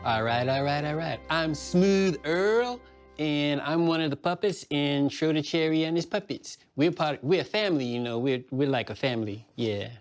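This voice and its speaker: A man puts on a laid-back puppet fellow, pitched up a little from plain talk. He drawls and halts in an easygoing, chatty way, with music behind him. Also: normal speed, close to an everyday voice. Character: Smooth Earl, laid-back easygoing male hand-made puppet.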